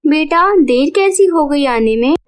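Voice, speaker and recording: Woman acting high-voiced caring motherly figure. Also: expressive, unhurried. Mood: worried. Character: caring, protective young mother and gentle mermaid. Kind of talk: cartoon moral-story voice acting.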